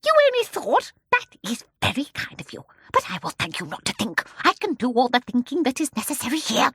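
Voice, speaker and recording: A woman voicing a tiny, very old, domineering storybook woman with a high, shrill, squeaky voice. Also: sing-song, normal speed, pushed and bright, no echo, clean studio sound, exaggerated voice. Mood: fierce, scornful. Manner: chattering, domineering, exclamatory. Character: tiny ancient shrill woman, fierce then sweet, gleefully eccentric.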